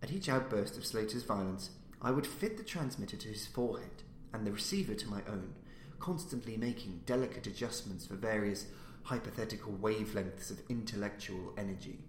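A man reading a horror story aloud as a podcast narrator, with deliberate, dramatic, emphatic phrasing. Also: lowish voice. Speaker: audiobook reader and podcast host. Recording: roomy echo with faint background noise.

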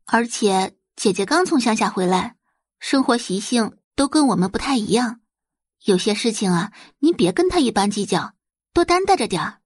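A woman voicing a young, well-bred heiress in an audio drama, speaking gently and considerately with a hidden scorn. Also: fairly high pitch, big pitch swings, normal speed, breathy edge, no room sound, clean studio sound. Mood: sweet, gentle. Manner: soft, gentle, considerate phrasing. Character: gentle-seeming young heiress, sweetly hypocritical and secretly scornful.

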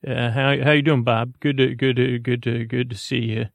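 A man with a creaky voice hosting a bedtime podcast, rambling haltingly and repeating himself in a lulling, soothing way. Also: medium pitch, flat delivery, no room sound. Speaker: podcast host.

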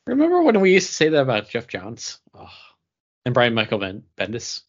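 A man's voice: medium pitch, wildly swooping pitch, fairly quick, highs cut off. A man co-hosting a podcast in loose, casual conversation with the panel. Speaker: comics podcast co-host.

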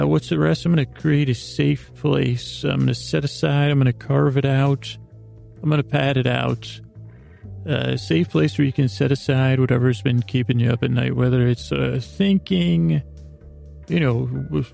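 A man hosting a bedtime podcast in a lulling, meandering monologue, halting and rephrasing himself in a gently silly, self-deprecating way. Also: medium pitch. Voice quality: creaky, gravelly. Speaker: host of a bedtime sleep podcast.

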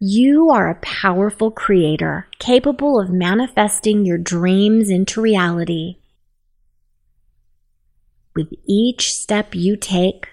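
Slow, soothing woman guiding meditation. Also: on the low side. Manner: soft, soothing and unhurried. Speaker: meditation guide.

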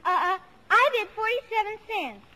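A woman's voice: extremely high pitch, animated, moderate pace, bright, forceful tone, full character voice. A woman playing an outspoken, eager American child in a mid-century radio drama. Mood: eager, pleading. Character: outspoken, eager young child of a big family. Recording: noisy old radio broadcast recording.

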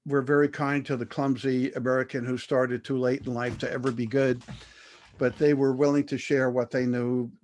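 An older man telling his life story as an interview guest, measured and thoughtful, with frequent fillers and restarts. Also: mid-range voice, darkish tone.

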